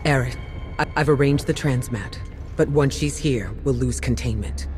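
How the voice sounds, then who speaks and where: A serious, knowledgeable ally from a video game , played by a male actor. His mid-pitched voice is measured and grave. Music runs behind every line. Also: a little mellow, close to an everyday voice.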